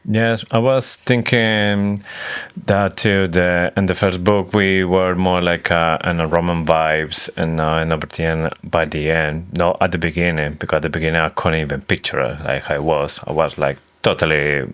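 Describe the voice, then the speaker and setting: A man co-hosting a book podcast, easygoing and a bit halting, with fillers and restarts. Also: deep voice, flat delivery, a bit bright, clear, resonant tone. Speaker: podcast co-host. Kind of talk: casual book discussion.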